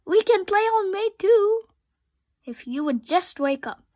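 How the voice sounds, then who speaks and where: A young storybook rabbit, acted out by a woman, with a very high, exclamatory voice. He is fussy and easily exasperated, prone to wailing and shouting his complaints at an unhurried pace. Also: lively intonation, no room sound, studio quality. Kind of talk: children's storybook character voice.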